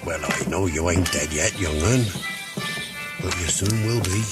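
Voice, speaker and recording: A man's voice: low voice, a little slow, over loud music. An actor playing a proud, stubborn old village grandfather in a radio play. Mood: blunt. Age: older.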